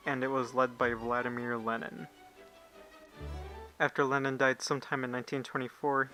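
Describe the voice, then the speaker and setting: Male narrator, mid-pitched, scripted educational explainer delivery, music underneath. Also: rough recording. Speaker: narrator of a puppet show.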